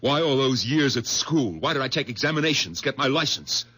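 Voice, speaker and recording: An actor playing a bitter country doctor in a 1970s radio drama, by turns exasperated and elated. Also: male voice, medium pitch, brisk, slightly rough. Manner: exasperated, then overjoyed and excitable. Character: bitter middle-aged male country doctor.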